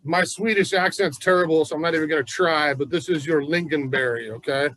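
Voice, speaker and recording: A man's voice: fairly high pitch, brisk, a bit bright, a little hiss or hum, rough recording. Folksy man teaching a gardening class. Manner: chatty, folksy, enthusiastic. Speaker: nursery gardening instructor. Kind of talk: casual gardening class talk. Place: plant nursery.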